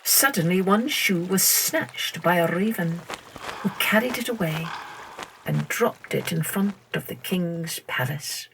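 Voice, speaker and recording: A woman storyteller reading a scripted children's tale in a deliberate, expressive manner. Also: lowish voice, creaky, slight background noise, low-quality recording. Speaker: storyteller, podcast host.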